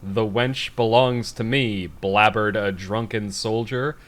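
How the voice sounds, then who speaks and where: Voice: medium pitch, steady tone, slow. A podcast co-host who chats casually and reads aloud from a story, in a giggly manner prone to breaking into laughter. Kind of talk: casual banter and reading a bad story aloud.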